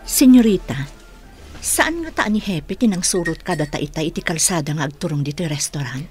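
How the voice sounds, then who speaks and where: A loyal older woman speaks low and very huskily with an Ilocano Filipino accent. She is a concerned confidante in a radio drama. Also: expressive, moderate pace, a little mellow, breathy edge, soft background music.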